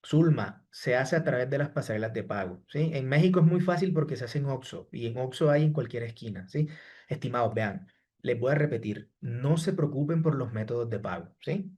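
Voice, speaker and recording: A man's voice: mid-range voice, expressive, fairly quick, a little mellow, husky. Man answering a live class chat. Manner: repeats "¿sí?" and "estimados" after each point; reads out chat questions and answers students by name. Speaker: online course instructor.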